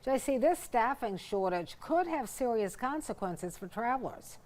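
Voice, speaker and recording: A woman's voice: fairly high pitch, lively intonation, moderate pace, background noise. An American woman working as a news anchor, reading a scripted introduction to a report.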